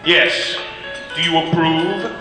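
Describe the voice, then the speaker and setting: Solemn ghost: slow, bright, reverberant. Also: male voice, fairly high pitch, steady tone, music in the background. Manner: solemn, measured. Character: solemn ghostly spirit guiding a miser. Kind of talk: 1940s radio acting. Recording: echoey old radio broadcast with long reverb.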